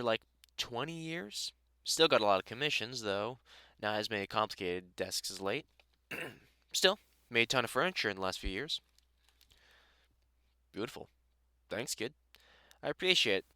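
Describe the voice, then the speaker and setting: A man's voice: fairly low pitch, pushed and bright, dry recording. A man playing a middle-aged British vampire hunter and carpenter in an intimate audio roleplay, blunt, sweary and teasingly affectionate. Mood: warm, earnest. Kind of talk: audio roleplay acting, intimate one-sided conversation.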